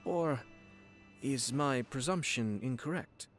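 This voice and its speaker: An actor playing a young noble lord in a video game, composed and quietly sly, speaking in formal, polished, measured phrases. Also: male voice, mid-range voice, close to an everyday voice. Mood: courteous. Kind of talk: video game dialogue acting. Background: music.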